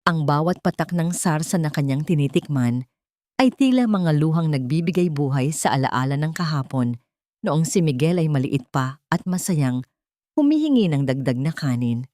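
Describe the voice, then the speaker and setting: Low-voiced woman telling a dark story. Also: no echo, studio quality. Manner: calm, measured, solemn. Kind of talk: scripted dramatic audio story narration.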